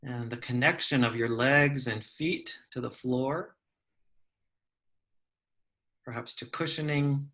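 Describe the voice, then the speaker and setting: Slow-paced man guiding a meditation. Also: medium pitch, fairly even intonation. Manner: gentle, measured instruction with long pauses. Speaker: psychologist leading a guided meditation. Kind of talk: guided meditation.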